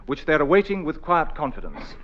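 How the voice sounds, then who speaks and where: An actor playing a solemn radio newsreader giving a formal, measured mock bulletin in a comedy. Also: male voice, fairly high pitch, very animated, fairly bright tone. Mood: formal gravity. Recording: dull, clearly noisy radio recording with clicks.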